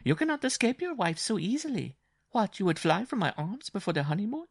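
Man putting on a brash, high-pitched strapping bride. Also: very animated, fairly quick, breathy edge, no room sound, clean studio sound, heavily acted. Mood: amused, mocking. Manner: boisterous, taunting, theatrical. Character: big strong young blonde bride, brash, mocking, domineering.

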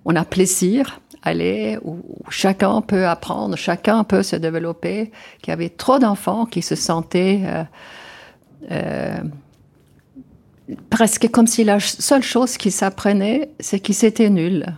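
An older woman from Sweden speaking French with a Swedish accent, answering interview questions thoughtfully, often pausing with fillers and restarts. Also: mid-range voice, gravelly, a little mellow. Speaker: guest.

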